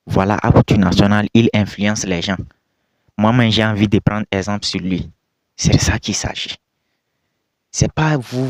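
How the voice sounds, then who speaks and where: A man's voice: on the low side, dry recording. A young man, not a native French speaker, casually commenting to his viewers in an emphatic, repetitive way with scolding rhetorical questions. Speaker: video commentator.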